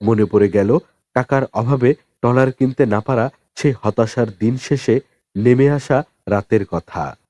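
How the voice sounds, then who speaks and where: A man narrating a novel as an audiobook in a dramatic, expressive storytelling style with deliberate pauses. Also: medium pitch, dry recording, clean studio sound.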